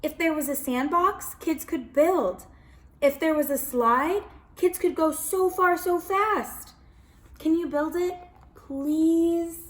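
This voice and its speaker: A woman's voice: very high-pitched, slow, pitched above the performer's own voice. A woman voicing a young girl who pleads earnestly in a letter, read in a children's storybook. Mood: earnest, pleading. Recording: roomy echo.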